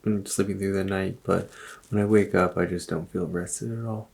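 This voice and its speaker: A soft-spoken, subdued man giving brief, quiet answers as the patient in an ASMR roleplay. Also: low voice, little pitch movement, moderate pace, gravelly, slight background noise.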